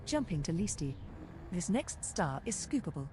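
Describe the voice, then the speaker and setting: A woman voicing a calm spaceship computer assistant, giving composed, formal scripted announcements in a game. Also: fairly low pitch, normal speed, noisy background.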